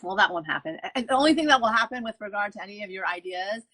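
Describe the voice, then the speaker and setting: A woman's voice: medium pitch, expressive, fast talker, sharp tone. A woman hosting an author interview, gushing and effusive, often repeating her phrases. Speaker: podcast host. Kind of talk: enthusiastic interview wrap-up.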